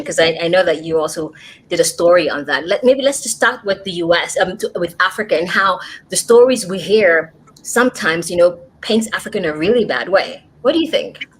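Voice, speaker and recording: A woman's voice: on the low side, fairly bright tone, husky, poor audio. An American woman hosting a livestream podcast interview over a video call, chatty, with frequent fillers and restarts. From United States. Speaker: podcast host.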